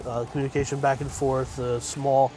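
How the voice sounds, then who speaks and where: A man's voice: mid-range voice, flat delivery, moderate pace, background noise. A man giving casual, brief, plainspoken interview answers as a fire chief.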